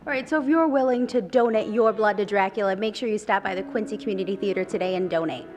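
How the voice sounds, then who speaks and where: Female morning TV reporter, medium pitch, chatty live-remote delivery, light music underneath. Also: quick pace, darkish tone. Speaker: TV morning show field reporter. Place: on location inside a community theater. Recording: background music behind voice.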